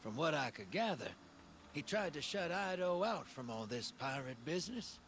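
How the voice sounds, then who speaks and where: Easygoing rogue, wry, folksy, very lively pitch swings, casual video game chatter. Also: male voice, moderate pace, a little hiss or hum. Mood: chummy, gossiping. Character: easygoing, wry roguish drifter man, folksy and knowing. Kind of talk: scripted video game dialogue.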